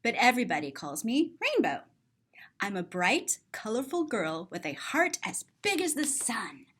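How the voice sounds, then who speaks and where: A woman's voice: a little high, sing-song, normal speed, a bit bright, breathy edge, exaggerated voice. A woman voicing a sensitive, big-hearted little girl who tells her own story in an earnest, rhyming storybook style. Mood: bright, proud.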